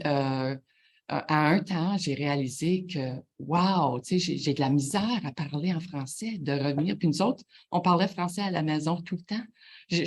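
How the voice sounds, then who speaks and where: A middle-aged woman with a Franco-Ontarian French accent, answering interview questions haltingly, often stopping to search for words. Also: deep voice, darkish tone. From Ontario, Canada. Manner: halting, many fillers and restarts, searching for words. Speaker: guest, chief executive.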